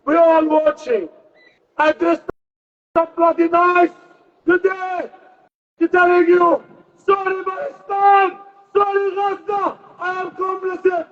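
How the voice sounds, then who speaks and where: A man gives an impassioned political speech at a rally, declaiming forcefully and repeating phrases between pauses. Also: extremely high pitch, muffled recording. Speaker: protest rally speaker.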